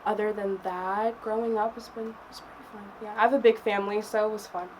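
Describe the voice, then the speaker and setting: A young woman from Cameroon, a guest artist talking casually in an interview, relaxed and chatty. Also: medium pitch, brisk, low-quality recording. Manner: relaxed and chatty, with soft laughs. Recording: faint background noise.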